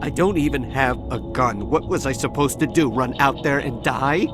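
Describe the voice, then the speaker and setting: An actor playing a jittery, cowardly dock worker who stammers and gets defensive, with game music behind him. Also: male voice, medium pitch, normal speed, natural voice. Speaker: survivor witness. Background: game score music.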